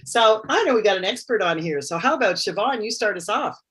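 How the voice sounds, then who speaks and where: This woman hosts an online networking call and calls each guest by name. Her tone is bright and a little husky. Her pitch moves a lot as she keeps things moving. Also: expressive, a little fast. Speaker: webinar host. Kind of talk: casual online networking meeting.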